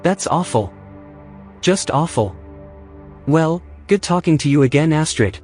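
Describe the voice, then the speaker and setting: Fussy, put-upon male AI, higher-pitched. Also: lively intonation, unhurried, a little mellow. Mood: reluctant. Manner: fussy, sardonic, exasperated. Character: fussy, reluctant male artificial intelligence, anxious and put-upon. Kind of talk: audio drama acting. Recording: music behind the voice.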